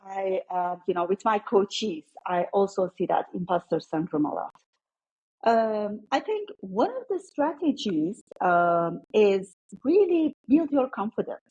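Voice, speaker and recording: A woman's voice: mid-range voice, dark tone, slightly rough, lo-fi sound. A middle-aged woman with a Turkish accent, answering as a guest in a conversational interview. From Turkey. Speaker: podcast guest, coach.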